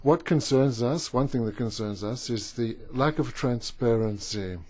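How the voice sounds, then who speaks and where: A man with a strong Austrian German accent, whose first language is German, speaks at a conference for his trade union. He talks haltingly, stopping and restarting often, at an ordinary middle pitch. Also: darkish tone. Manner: halting, with many fillers and restarts. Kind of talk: conference speech.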